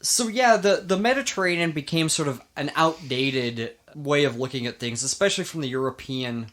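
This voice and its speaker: A man's voice: fairly high pitch, expressive, moderate pace, fairly bright tone. A man hosting a podcast, telling history in an informal, emphatic way with casual banter.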